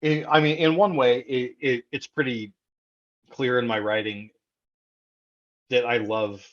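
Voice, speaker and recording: An American man from Texas answers interview questions in a casual, conversational way. His voice sits at a medium pitch. He speaks haltingly, doubling back on words as he works out a thought. The recording is somewhat dull and lo-fi. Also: steady tone, a bit bright. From Texas, United States. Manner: halting, repeats words as he thinks aloud. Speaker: interview guest, poet.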